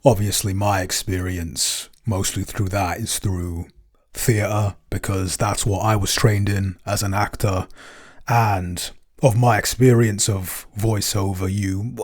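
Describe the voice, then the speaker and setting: A man co-hosting a casual Q&A chat, answering in a measured, thoughtful way with frequent hesitations. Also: on the low side. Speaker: podcast co-host and composer. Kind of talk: casual behind-the-scenes Q&A chat.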